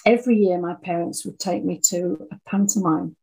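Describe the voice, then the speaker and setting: A woman's voice: on the low side, fairly quick, warm tone, slightly rough, low-quality recording. An older woman from the English Midlands, with an English accent, talking conversationally as an interview guest and telling stories. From Birmingham, English Midlands. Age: 60s or older. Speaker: interview guest, audio drama writer.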